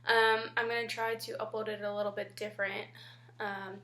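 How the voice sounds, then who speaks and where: A woman's voice: medium pitch, level tone, normal speed, a bit bright, reverberant. A young woman with a stuffy nose from a head cold, chatting casually and rambling to camera about makeup. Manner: chatty and rambling, many ums. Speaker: beauty vlogger.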